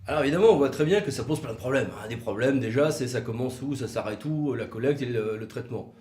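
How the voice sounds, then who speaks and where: A man with a mid-pitched voice co-presents a legal explainer in a roomy, echoing space. He talks conversationally, putting questions to himself and pausing to search for his words. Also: a little mellow. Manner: conversational, hesitant pauses, rhetorical questions. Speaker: legal commentator for a law firm, co-presenter. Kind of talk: explanatory legal video, semi-scripted two-hander.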